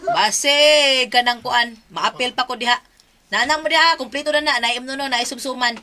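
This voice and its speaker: A woman's voice: high voice, moderate pace, heavy background noise, close to an everyday voice. A woman playing a nagging, exasperated wife in a comedy skit, complaining and scolding with a Cebuano Filipino accent. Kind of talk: casual comedic skit banter.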